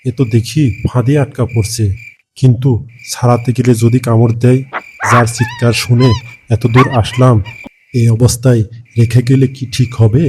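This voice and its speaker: A man's voice: medium pitch, moderate pace, background noise, natural voice. A man voicing a kind, lonely village farmer in a cartoon, musing in plain rustic phrasing. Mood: worried, pitying. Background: background music.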